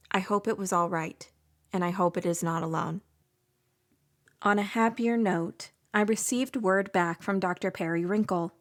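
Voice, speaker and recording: A woman playing a gentle, earnest young botany graduate who works as an innkeeper, telling her story in a measured, scripted way. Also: fairly low pitch, natural voice. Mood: reflective. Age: young adult. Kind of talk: scripted first-person storytelling.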